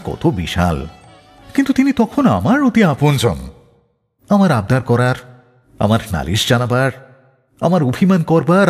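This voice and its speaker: A man hosting a radio show, narrating a scripted story in an expressive, measured storyteller's delivery. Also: mid-range voice, slightly rough, in a large hall.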